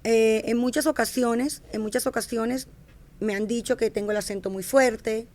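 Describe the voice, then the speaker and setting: A woman's voice: fairly high pitch, steady tone, moderate pace, a little mellow. A middle-aged woman, telling stories about her career as a podcast guest. She restarts and corrects herself often and acts out quoted speech. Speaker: podcast guest, fashion entrepreneur. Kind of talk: casual interview storytelling.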